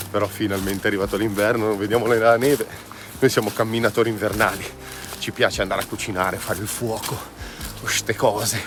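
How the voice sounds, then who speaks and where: A man chatting casually and enthusiastically as he records a hiking vlog outdoors, in an informal, exclamatory way. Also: mid-range voice. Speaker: hiking vlogger. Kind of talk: casual vlog chat while walking outdoors. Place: outdoors on a mountain trail. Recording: outdoor noise, ambient hiss.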